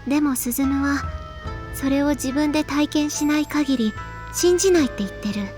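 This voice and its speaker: A young woman playing an earnest, gently cautioning teenage schoolgirl in a Japanese visual novel. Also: high-pitched, quick pace, faint music behind, lightly acted. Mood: grave warning.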